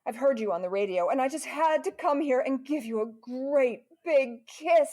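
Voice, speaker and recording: A woman acts out an adoring, swooning admirer in a radio comedy. Her voice is high and mellow, gushing over her idol. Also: normal speed, slight background noise, lightly acted. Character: swooning, adoring female fan. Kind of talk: old-time radio comedy acting.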